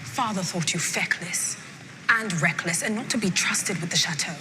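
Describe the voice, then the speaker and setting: An actress playing a cold, haughty, scornful heiress in a screen drama. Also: female voice, lowish voice, moderate pace, natural voice. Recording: clear background noise.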